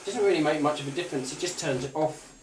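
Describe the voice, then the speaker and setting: A roomy, distant recording with faint noise carries a man with a slightly high voice. He talks casually as he demonstrates a gadget, unscripted and hesitant. He rambles and circles back over his own phrases. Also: fast talker. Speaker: gadget review YouTuber. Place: indoors at a tabletop, away from the mic. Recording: roomy, distant mic, faint hiss and clicks.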